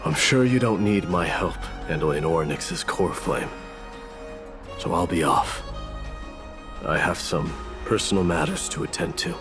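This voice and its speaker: A man's voice: sits low, expressive, measured pace, music in the background. An actor playing an aloof, brooding man with a curt, closed-off manner in a video game.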